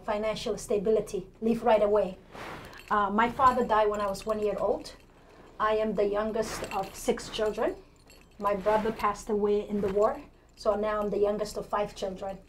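A woman's voice: mid-range voice, poor audio. A woman in her forties with a Somali accent, answering interview questions conversationally as a political candidate. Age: 40s. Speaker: city council candidate, interview guest. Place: indoor TV studio at a desk. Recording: clear background noise.

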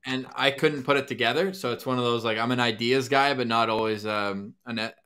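A man's voice: medium pitch, steady tone, fairly quick, sharp tone, clean tone. A man co-hosting a podcast over a video call, talking playfully and joking with his guest. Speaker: podcast co-host. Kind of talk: casual video call chat.

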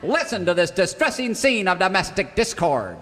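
A smarmy radio pitchman, grandiose and earnest. His voice is high and forceful. It rings through a reverberant hall. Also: very animated, moderate pace. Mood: grandly earnest. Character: smarmy evangelizing radio pitchman selling gadgets. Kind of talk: parody radio commercial.

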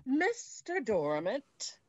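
Slow, formal gentry mother, acted by a woman, slightly muffled. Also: high voice, huge pitch swings, no echo, full character voice. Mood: gracious, measured. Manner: stately, formal. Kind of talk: classical stage comedy acting. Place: indoors at home, on a video call. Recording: slightly dull video-call audio.

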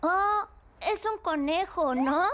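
A woman's voice: very high-pitched, animated, slow pace, background noise, full character voice. A woman voicing a careless, mischievous young boy in a cartoon dubbed in Latin American Spanish. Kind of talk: children's animation dub.

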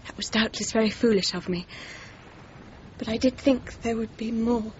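A woman's voice: normal speed, natural voice. An actress playing a well-bred young Englishwoman in grief in a radio drama, earnest and genteel, with an English accent. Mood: wistful, disappointed. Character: grieving, well-bred young Englishwoman, polite but distraught. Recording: slightly muffled, faint rumbling noise.

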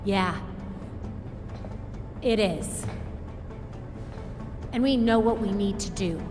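A woman's voice: medium pitch, slow pace, natural voice. A woman acting a young, analytical, resolute vigilante in a dramatic video game cutscene. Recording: hall reverb with music behind. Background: music.

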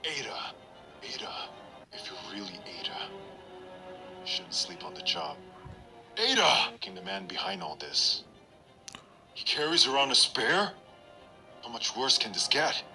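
An actor playing a young, grim and weary government agent in a video game. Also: male voice, mid-range voice, very animated, unhurried, gravelly, long pauses, bright, forceful tone, heavily acted. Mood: tense, wry. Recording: music over game voice clips.

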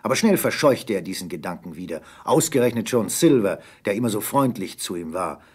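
Male narrator for adventure audio drama, low-pitched, husky, dramatic delivery. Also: animated, a little fast, a little mellow. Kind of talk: dramatic scripted story narration.

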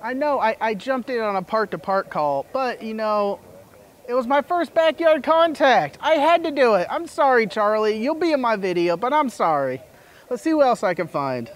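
A man's voice: very high-pitched, expressive, moderate pace. A man in his thirties making casual amateur radio contacts outdoors in a backyard. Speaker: amateur radio operator and vlogger. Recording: very noisy outdoor camera sound.

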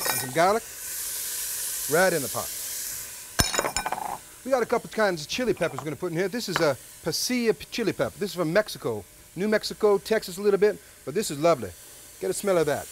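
A man's voice: sits high, background noise. A man in his thirties hosting a cooking show at an outdoor grill, chatty and joking as he cooks. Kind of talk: cooking demonstration.

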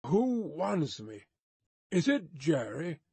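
A man voicing a polite, anxious gentleman traveller in a storybook, with a mildly quavering voice. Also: on the high side, big pitch swings, slow pace, slightly rough, dry recording, exaggerated voice. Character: mild, polite gentleman coach passenger, anxious yet composed.

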